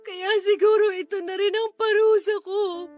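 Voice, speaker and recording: Distraught young woman, Filipino accent, extremely high voice, tearful and self-blaming radio drama confession over soft music. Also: steady tone, normal speed. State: crying. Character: distraught, ashamed young woman confessing abuse to her cousin. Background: background music.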